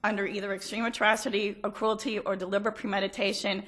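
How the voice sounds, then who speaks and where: A woman prosecutor with a hoarse, rough-edged voice lays out her case before a panel of judges. She speaks in a measured, factual way and holds firm under questioning. The setting is an appellate courtroom. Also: mid-range voice, little pitch movement, fairly bright tone. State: hoarse from allergies. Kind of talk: appellate oral argument.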